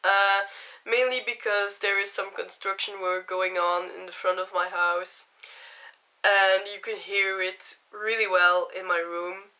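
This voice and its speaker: A young woman with a cold and a hoarse voice, chatting casually as she explains each step of a makeup tutorial. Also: medium pitch, flat delivery, a little slow, bright, forceful tone, rough recording.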